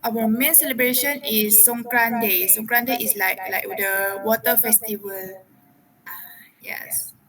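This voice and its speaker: A young woman in her twenties from Malaysia whose first language is Thai, answering interview questions haltingly as she searches for words. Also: a little high. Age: 20s. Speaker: interviewee, university student. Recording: distant mic with crowd chatter behind.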